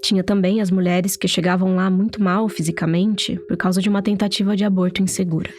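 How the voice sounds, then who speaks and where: A woman reporter with a Brazilian Portuguese accent narrating a documentary story in a steady, storytelling manner. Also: medium pitch, fairly quick, a little hiss or hum. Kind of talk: scripted narration.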